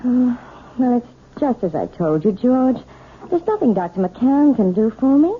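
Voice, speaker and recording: A woman's voice: fairly high pitch, animated, unhurried, slightly rough, narrow, tinny audio. A woman playing a timid, nervous, apologetic wife in 1970s radio drama style, hesitant and stammering. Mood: anxious, apologetic. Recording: thin, band-limited old radio broadcast.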